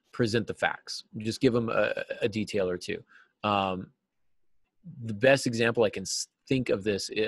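A man in his forties giving earnest, explanatory answers as an interview guest, stressing key words deliberately. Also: fairly low pitch, no echo. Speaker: writing teacher and novelist, interview guest. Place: small cluttered office, video call.

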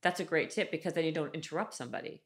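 Woman from canada, forties, podcast host, low voice, animated loose chat, boom mic. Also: very fast. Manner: animated, talks in loose restarts with lots of "like". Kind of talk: casual interview chat. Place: studio room with wood-panel wall. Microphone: desk microphone on boom arm.

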